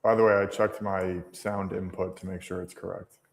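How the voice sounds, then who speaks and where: A man's voice: low-pitched, flat delivery, normal speed, vocal fry, echoey room. A man co-hosting a livestream, talking casually and commenting on his trades. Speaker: livestream co-host, futures trader.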